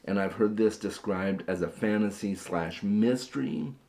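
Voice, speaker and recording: A man with a very low voice talks casually to his viewers about books. His voice is hoarse and worn. He often stumbles and repeats words as he begins a thought. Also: a little slow, a little mellow. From Phoenix area, USA. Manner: chatty, repeats words when starting sentences. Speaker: book vlogger. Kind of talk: casual chat to camera.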